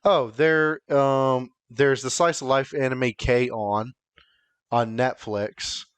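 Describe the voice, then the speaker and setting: A man co-hosting a podcast in casual chat with friends, often halting as he thinks out loud. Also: mid-range voice, steady tone, clear, resonant tone, no echo. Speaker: podcast co-host.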